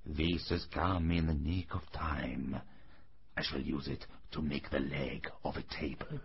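A man's voice: a bass voice, very animated, slow pace, husky, muffled recording, pitched below the performer's own voice, heavily acted. A man voicing an old carpenter in a storybook, muttering to himself hesitantly and easily frightened. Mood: pleased muttering.

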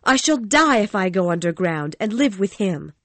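Storybook figure: a scared young woman singer, anxious and pleading, put on by a male narrator. High voice, dry recording. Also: sing-song, normal speed, bright, forceful tone, no echo, heavily acted. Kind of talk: storybook reading.

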